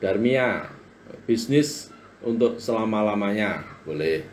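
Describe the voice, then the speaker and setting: A man's voice: lowish voice, lively intonation, takes it slowly, a little hiss or hum. A man in his forties hosting a live-stream book talk, chatting to viewers and reading their comments aloud in a motivational way. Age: 40s. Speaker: live-stream business coach.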